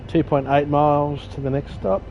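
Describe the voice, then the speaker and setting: A man's voice: fairly high pitch, fairly even intonation, normal speed, creaky, a little mellow. A man chatting casually while playing a train driving game, rambling and joking at himself. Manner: rambling, self-deprecating, mild swearing. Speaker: gaming streamer. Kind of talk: casual gameplay commentary. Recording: dull lo-fi audio with faint game noise.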